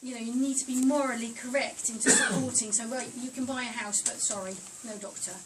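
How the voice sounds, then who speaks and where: A woman's voice: on the high side, fairly even intonation, brisk. Insistent woman at a public meeting. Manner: insistent, rhetorical. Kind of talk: public comment. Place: council meeting hall. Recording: distant mic with background noise.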